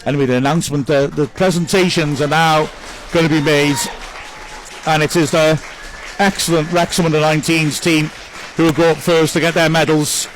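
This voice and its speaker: A man's voice: a little high, steady tone, normal speed, a faint crowd, a little hiss or hum, poor audio. Chatty man commentating a match. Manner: chatty, upbeat, praises players one by one. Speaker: club commentator. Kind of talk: live match commentary reading viewer messages.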